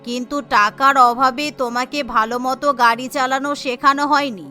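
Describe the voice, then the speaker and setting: Woman voicing practical older in-law, lowered pitch. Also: steady tone, a little fast, bright, forceful tone, soft background music. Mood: encouraging advice. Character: concerned, practical older in-law of a poor household.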